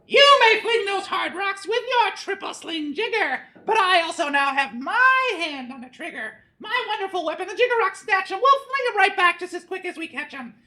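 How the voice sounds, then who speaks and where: Shrill, snarling storybook villain. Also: male voice, big pitch swings, moderate pace, few pauses, bright, forceful tone, heavily acted. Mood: menacing boast. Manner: snarling, taunting. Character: snarling, mean, boastful rival creature from a storybook.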